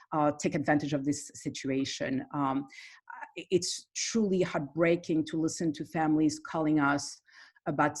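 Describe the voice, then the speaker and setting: A woman's voice: deep voice, husky. A woman from Morocco, a therapist, speaking earnestly on an online panel, hesitant with many fillers. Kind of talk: panel talk on a video call. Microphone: video call.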